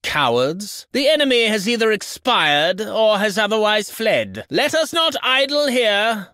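A man's voice: high-pitched, very animated, a little slow, bright, forceful tone, no room sound, clean studio sound, full character voice. A man voicing a haughty, condescending medieval nobleman in a video game, with pompous, ornate enunciation and theatrical delivery. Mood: haughty, brisk. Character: snobby, haughty medieval nobleman, contemptuous of peasants.

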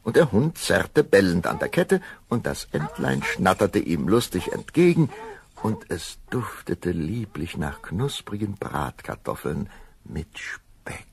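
A man narrating a children's fairy tale as an expressive storyteller, savoring the words with wry relish. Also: low voice, slow, gravelly, full character voice. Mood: storytelling.

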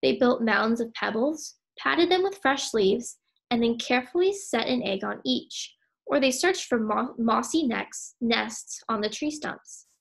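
High-voiced young woman reading a storybook. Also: animated, unhurried, vocal fry. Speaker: teacher reading aloud. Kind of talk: storybook read-aloud.

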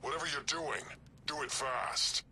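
An actor playing a boastful, battle-hardened alien warrior commander, gruff and blunt, in a game cutscene. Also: male voice, a little high, a little slow, sharp tone, slight background noise. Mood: gruff bravado. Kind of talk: video game cutscene acting.

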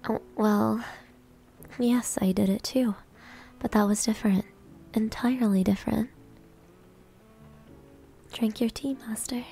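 A woman playing a proud, easily flustered maid with a hidden soft side in an ASMR roleplay, breathy and often whispering. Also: fairly high pitch, fairly even intonation, unhurried, long pauses, soft background music. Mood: flustered, coy. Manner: coy, grudging, flustered.

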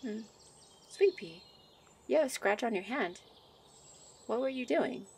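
Woman voicing a shy, gentle fairy over birdsong. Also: fairly high pitch, animated, measured pace, gravelly, a little hiss or hum. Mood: tender concern. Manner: soft, encouraging, affectionate, giggly. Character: shy, gentle young female nature fairy, affectionate teacher. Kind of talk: ASMR roleplay. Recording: birdsong and outdoor ambience behind. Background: birdsong ambience.

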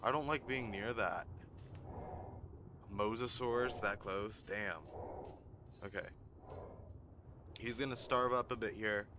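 Hoarse male gaming commentator, deep gravelly tone, noisy home recording. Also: takes it slowly, pauses often. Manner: excitable, swearing when startled. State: losing his voice, hoarse. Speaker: gaming YouTuber. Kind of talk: casual gameplay commentary.

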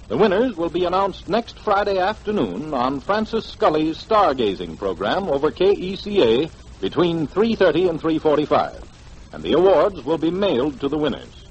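Animated American radio announcer, slightly husky man. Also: fairly high pitch, muffled recording. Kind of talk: 1940s radio promotional announcing.

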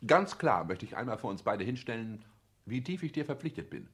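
Man playing a cold, animated social climber. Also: mid-range voice, a little fast, close to an everyday voice. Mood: coldly formal. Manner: formal, declamatory, precise. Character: cold, calculating young male social climber turned director. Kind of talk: 1960s German radio play acting, theatrical.

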